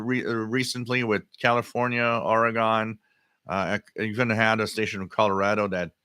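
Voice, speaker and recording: A man hosting a casual live hobby stream, answering chat comments in a halting way and often repeating his words. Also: on the low side, bright, forceful tone, smooth, dry recording. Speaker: livestream host.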